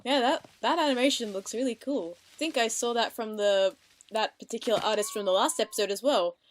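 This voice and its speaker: A woman's voice: a little high, brisk. A woman giving casual reaction commentary as she watches a cartoon. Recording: cartoon music behind the voice.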